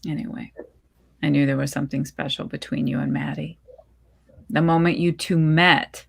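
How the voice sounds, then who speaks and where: A woman reading the part of a gentle, affectionate middle-aged mother in a short story, soothing and reassuring. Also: sits low, flat delivery, normal speed, heavily acted. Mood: encouraging. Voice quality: husky, creaky, gentle.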